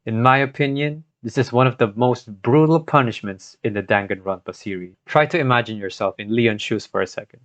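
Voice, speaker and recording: A man's voice: mid-range voice, brisk, hardly any pauses, fairly bright tone, dry recording. A man narrating a scripted video retelling of a game story, adding his own commentary as a gaming content creator. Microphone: cheap home microphone, voice-over. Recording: dull lo-fi scuffed home microphone.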